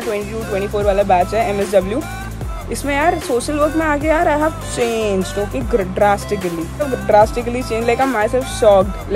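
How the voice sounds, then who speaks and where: A woman of twenty-one talks straight to her viewers in a loose, opinionated ramble, often stopping to add a quick aside. Her voice sits a little high and she takes her time. Clear music plays under everything she says. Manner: rambling, opinionated, code-switching, often says "yaar" and "theek hai". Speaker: vlogger. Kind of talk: casual talking-head vlog.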